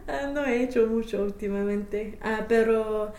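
A woman's voice: a little high, unhurried, darkish tone, echoey room. A young woman, a native English speaker, answering interview questions as a language learner, hesitant with many fillers and restarts. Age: young adult.

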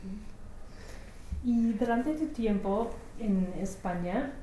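A woman's voice: fairly high pitch, lively intonation, slow pace, warm tone, roomy sound, a little hiss or hum, low-quality recording. A woman conducting a research interview, asking short questions and giving brief encouraging backchannels.

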